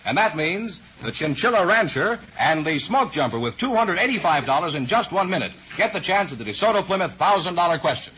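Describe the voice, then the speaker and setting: A man's voice: a little high, very animated, fairly quick, few pauses, noisy background. An American man announcing in polished, emphatic 1950s radio style, reading scripted sponsor messages. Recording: dull, raspy vintage radio broadcast.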